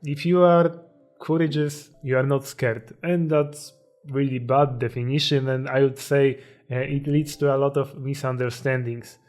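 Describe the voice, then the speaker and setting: Young man, Polish accent, medium pitch, motivational explainer, slightly hesitant non-native phrasing, background music. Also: fairly even intonation, unhurried, darkish tone. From Poland. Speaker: self-improvement video creator.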